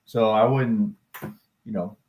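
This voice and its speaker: A man's voice: on the low side, steady tone, takes it slowly, muffled recording. Rambling, chatty male livestream host. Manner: rambling, chatty, self-deprecating, with asides to the chat. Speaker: crypto and stock chart livestream host. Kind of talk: casual livestream chat.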